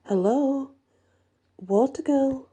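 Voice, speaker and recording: A woman's voice: sits high, very slow, warm tone, voice pushed up. A woman voicing a bold, stubborn young female werewolf heroine in a fantasy story, with firm, wry delivery. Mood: calling out.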